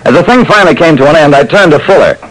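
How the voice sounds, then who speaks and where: A man's voice: on the high side, animated, rapid-fire, poor audio. A man playing a tough, wry private detective who narrates his cases in dramatic vintage radio style. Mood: dry, wry. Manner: wry, dramatic, clipped storytelling. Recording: old radio recording with faint noise.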